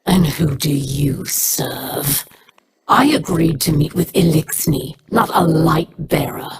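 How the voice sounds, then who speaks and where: Cold low alien rebel queen, slow and measured, hissy track. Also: expressive, full character voice. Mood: commanding. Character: proud defiant female alien rebel leader.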